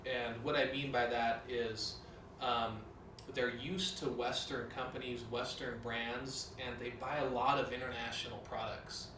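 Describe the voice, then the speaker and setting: A man's voice: mid-range voice, flat delivery, unhurried, recorded from a distance. A man giving a corporate training talk in a casual way, with many fillers. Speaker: company director. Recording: roomy, from off-mic, with clear noise.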